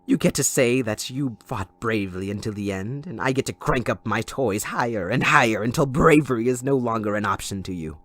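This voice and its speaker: Manic supervillain kidnapper, mocking, possessive, theatrical, wildly varying pitch, dry close recording, audio roleplay. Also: expressive, brisk, hardly any pauses, fairly bright tone, dry recording. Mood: sadistic glee. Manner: theatrical, mocking, giggling, swooping between croon and shriek. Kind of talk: ASMR audio roleplay, addressing the listener as captive.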